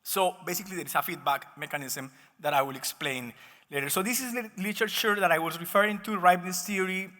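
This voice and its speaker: A man with a Colombian accent presents research at a conference. His voice is high and bright, and it rings through a reverberant hall. He explains step by step, restarting words as he goes. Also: fast. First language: Spanish. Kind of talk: academic presentation. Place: conference session room, speaking to an audience.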